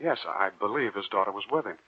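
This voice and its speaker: An actor playing an earnest, romantic young student, courteous and measured, speaking and narrating in 1940s radio style. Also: male voice, on the low side, animated, slightly rough. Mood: polite, earnest. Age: young adult. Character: earnest, romantic young male medical student, smitten and uneasy. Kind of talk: 1940s radio acting, dramatic first-person narration. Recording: muffled 1940s radio recording with music.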